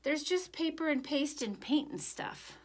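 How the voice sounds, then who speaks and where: A woman's voice: high-pitched, expressive, measured pace, a little mellow, voice pushed up. A woman voicing an earnest, curious little girl in a read-aloud storybook. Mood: doubtful. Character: earnest, curious little girl missing her soldier father.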